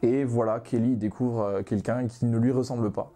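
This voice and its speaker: A young man from Ghana with a mellow, darker voice, chatting easily as a guest. He talks in loose, meandering stretches, full of small pauses and restarts. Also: mid-range voice. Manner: relaxed, many hesitations and restarts. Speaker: manga author guest. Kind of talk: casual podcast conversation.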